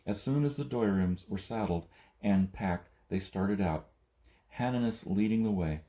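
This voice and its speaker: A man's voice: on the low side, measured pace, warm tone. A man reading a story aloud as scripted audiobook narration. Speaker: audiobook reader.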